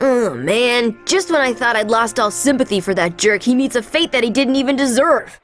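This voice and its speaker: A woman's voice: on the high side, animated, moderate pace, soft background music, lightly acted. A woman voicing a cocky, wisecracking ten-year-old American boy hero in a cartoon video game. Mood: sarcastic. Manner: sarcastic, brash. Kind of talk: animated game cutscene acting.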